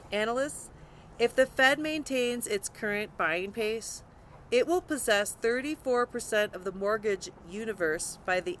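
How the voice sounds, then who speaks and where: A woman's voice: medium pitch, fairly bright tone, a little hiss or hum. A woman narrating a scripted nonfiction audiobook, reading aloud.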